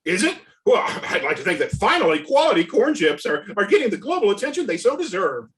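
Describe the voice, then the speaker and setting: A man acts out a relentlessly chipper office colleague in a stage comedy. His voice sits high for a man and swings eagerly up and down. He is effusive and pleased with himself. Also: big pitch swings, a little fast, a bit bright. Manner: chirpy, effusive. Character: chipper, self-important male snack company coworker. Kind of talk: comedic play table reading.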